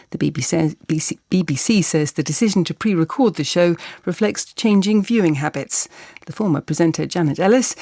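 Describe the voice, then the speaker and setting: A woman's voice: on the low side, mellow, no echo. A woman with a British accent reading a formal, scripted radio news bulletin as newsreader. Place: dry broadcast radio studio.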